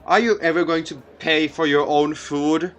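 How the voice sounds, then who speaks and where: A man's voice: fairly high pitch, steady tone, moderate pace, fairly bright tone, natural voice. A man voicing an awkward, wry teenage boy, the hesitant protagonist of a visual novel.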